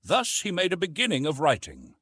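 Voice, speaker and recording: A man narrating a literary audiobook in an expressive, measured storytelling manner with dramatic emphasis. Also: a little high, breathy edge, no echo, studio quality.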